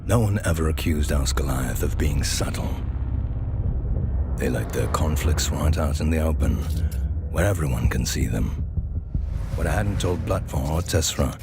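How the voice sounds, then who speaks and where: Shady self-serving dealer narrating game cutscenes, super deep voice, wry, big score under it. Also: male voice, expressive, moderate pace, music in the background. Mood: sardonic. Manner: wry, sardonic.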